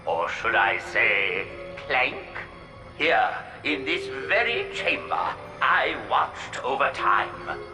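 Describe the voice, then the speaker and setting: An actor playing a wise, fatherly inventor in a video game, speaking gravely and formally in an echoey hall. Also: mid-range voice, big pitch swings, takes it slowly, sharp tone, heavily acted. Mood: solemn, fatherly. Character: solemn, wise fatherly male inventor leaving a message. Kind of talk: video game cutscene monologue. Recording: echoey hall, music behind.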